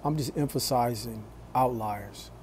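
A man in his forties talking to his phone camera in a small room, slow and deliberate, with a preachy tone. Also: medium pitch, darkish tone, slight background noise. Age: 40s. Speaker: vlogger. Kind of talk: casual talk to camera.

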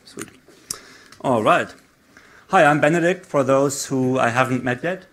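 A man's voice: medium pitch, measured pace, a bit bright. A man opening a conference science talk, casual and joking. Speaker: research speaker. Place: lecture room.

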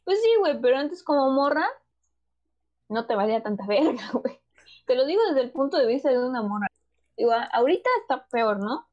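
A young woman with a northern Mexican accent talks on a stream in a candid, slangy, laid-back way. Her voice sits a little high, and the audio sounds slightly dull. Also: animated, a little fast, darkish tone. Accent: northern Mexican Spanish. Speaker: podcast co-host. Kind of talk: casual chat.

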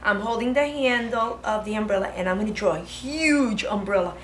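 Female preschool teacher, thirties, bright and higher-pitched, encouraging step-by-step instruction, some room noise behind. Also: a little fast. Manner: encouraging, with short phrases and frequent check-ins. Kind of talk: simple step-by-step instruction for young children. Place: indoor preschool classroom. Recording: classroom with audible background noise.

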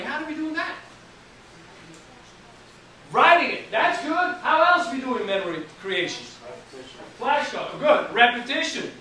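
Animated male anatomy instructor. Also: sits high, slight background noise. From Switzerland. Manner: animated, talks with his hands, restarts often. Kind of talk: classroom lecture. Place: college classroom, indoors. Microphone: far camera at the back of the room. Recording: echoey classroom from far off.

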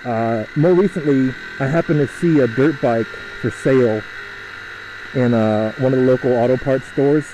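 A man's voice: mid-range voice, dark tone, slight background noise, rough recording. Rambling young man on a motorcycle. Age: young adult. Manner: rambling, chatty, halting with fillers. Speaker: motovlogger. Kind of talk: casual riding commentary. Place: riding a motorcycle outdoors through a suburb.

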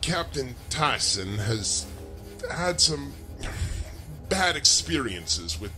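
A commanding rebel captain, played by a man, businesslike but amiable, in a science fiction audio drama. His medium-pitched voice moves expressively, with music underneath. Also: big pitch swings, slow pace, bright, forceful tone, heavily acted. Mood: reassuring. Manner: authoritative, businesslike. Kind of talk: sci-fi audio drama acting.

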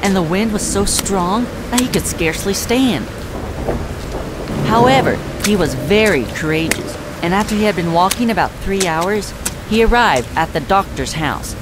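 A man playing a mild songbird who narrates a storybook tale in a measured, gentle way. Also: sits high, animated, noisy background. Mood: solemn storytelling.

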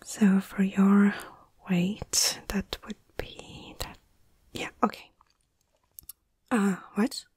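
A woman playing a caring young female veterinarian in a soft, hushed ASMR roleplay, speaking gently and a little hesitantly. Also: medium pitch, slow pace, long pauses, slightly rough, dry recording. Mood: focused, caring.

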